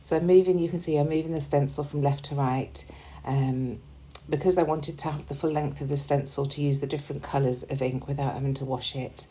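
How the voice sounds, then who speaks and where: A woman with a slightly hoarse voice, chatting in a relaxed way as she narrates a scrapbooking process video, with plenty of ums. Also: low voice, a little fast, slight background noise. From United Kingdom. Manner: relaxed and chatty, with frequent ums and small laughs. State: slightly hoarse, losing her voice. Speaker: crafting channel host.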